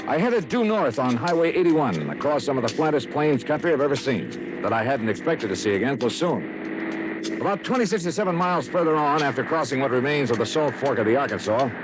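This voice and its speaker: An American actor playing a tough, wry insurance investigator in a hard-boiled old-time radio drama. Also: male voice, mid-range voice, animated, fairly quick, noisy background, lightly acted. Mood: wry narrating. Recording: vintage radio recording with music.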